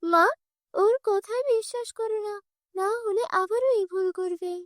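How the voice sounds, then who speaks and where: A woman's voice: very high voice, unhurried, dry recording, studio quality, voice pushed up. A woman voicing a spoiled, spiteful young stepsister in a cartoon, bossy and whining.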